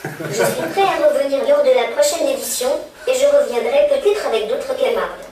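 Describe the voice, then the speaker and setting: A speech synthesizer voicing a friendly small humanoid robot assistant that politely reads out labels in an even tone. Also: male voice, extremely high pitch, brisk, far from the mic. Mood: cheerful. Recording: echoey room, speaker heard from afar.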